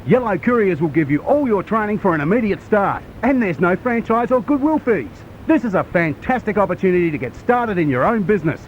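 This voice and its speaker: A high-voiced Australian man reads a scripted radio ad with lively intonation. The sound is dull, like old radio. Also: fairly quick, few pauses. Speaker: advert voice-over. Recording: dull, faintly noisy AM radio.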